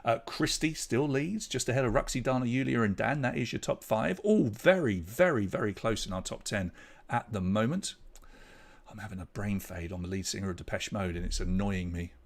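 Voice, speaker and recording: A man's voice: lowish voice, moderate pace, creaky. A man with an English accent hosting a live online pub quiz, reading out questions and scores and bantering with the players. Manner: chatty, jokey banter with players between questions. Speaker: quiz host.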